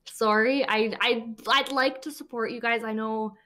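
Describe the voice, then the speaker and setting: A woman's voice: on the high side, steady tone, a little slow, bright, forceful tone. A woman speaking as a panelist and streamer in a casual debate, hesitant with many restarts.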